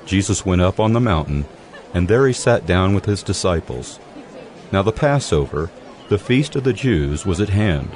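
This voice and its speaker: A man's voice: low-pitched, expressive, normal speed, a little mellow. A man narrating scripture from a script as part of a dramatized reading. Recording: faint music bed.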